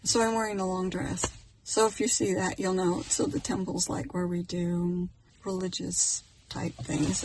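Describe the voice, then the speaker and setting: A woman's voice: mid-range voice, noisy background. A middle-aged American woman talking casually and reflectively to camera as a vlogger, in a musing, slightly self-conscious conversational way. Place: outdoors in a park, partly in a parking lot.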